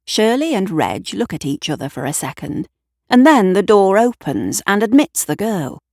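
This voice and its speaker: A woman narrating a scripted audio drama in a measured, deliberate storytelling manner. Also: medium pitch, big pitch swings, darkish tone, no echo, clean studio sound. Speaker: writer and narrator.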